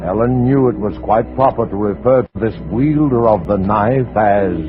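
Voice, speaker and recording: A man announcing and narrating a 1940s radio suspense show in a theatrical, ominous, deliberately phrased way. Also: lowish voice. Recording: dull old radio recording. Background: dramatic music.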